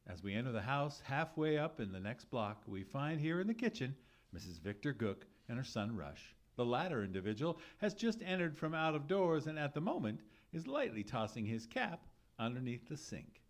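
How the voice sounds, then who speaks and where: A man's voice: mid-range voice, very animated, normal speed. A man announcing an old-time radio show from a script, theatrical and emphatic. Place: live theatre stage.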